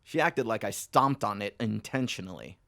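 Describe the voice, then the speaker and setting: A man's voice: fairly low pitch, animated, normal speed, gravelly, a bit bright, no echo. Rambling boozy salesman, dry close sound. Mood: sheepish, rambling. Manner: rambling, sweary, hedging. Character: desperate hard-drinking philandering phone salesman, evasive and needy. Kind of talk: audio drama dialogue.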